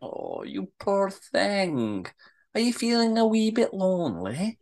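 A woman's voice: a bass voice, sing-song, normal speed, slightly rough, exaggerated voice. A woman playing a feisty, indignant Scottish woman in acted drama dialogue, with blunt, colloquial delivery. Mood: sweetly teasing. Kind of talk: amateur script read-through, acted dialogue.

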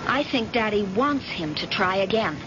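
An actress playing an anxious, devoted grown daughter in an old-time radio crime thriller, speaking earnestly and insistently. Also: female voice, medium pitch, expressive, moderate pace, lightly acted. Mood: pleading, insistent. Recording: dull, very noisy old radio recording.

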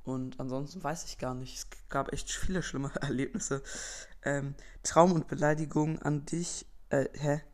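A young teenage boy from Berlin hosts his own podcast, working through listener questions one by one. His voice sits a little high, and he rambles casually, full of fillers and slangy asides. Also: fairly even intonation, a little slow, a little mellow. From Berlin, Germany. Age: young teen boy, entering eighth grade. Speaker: podcast host. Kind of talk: casual Q&A, reading out listener comments.

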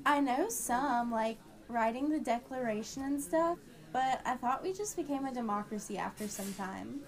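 Woman acting as curious young female friend, excitable, slightly high voice, girlish slang, questioning, scripted amateur radio drama. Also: moderate pace, close to an everyday voice. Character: excitable young woman, curious about how government works.

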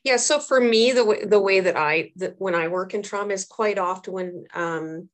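A woman's voice: medium pitch, moderate pace. A woman, thirties or older, answering as an interview guest and therapist, speaking earnestly and reflectively and repeating phrases for emphasis. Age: 30s or older. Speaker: trauma therapist and social worker, interview guest.

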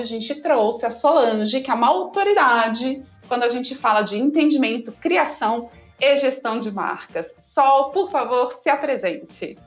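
A woman co-hosting a podcast, talking in a chatty way and often closing phrases with a tag question. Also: a little high. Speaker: podcast co-host. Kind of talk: casual podcast chat.

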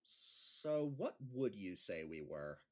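Man acting awkward, low-voiced male schoolteacher. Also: very animated, takes it slowly, full character voice. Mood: awkward, hopeful. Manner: hesitant, self-effacing. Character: awkward, self-deprecating male schoolteacher trying stand-up comedy. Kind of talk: naturalistic audio drama acting.

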